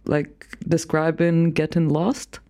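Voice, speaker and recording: Slow, gravelly-voiced musician guest. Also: mid-range voice, little pitch movement, dark tone. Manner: slow and halting, with fillers. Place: radio studio.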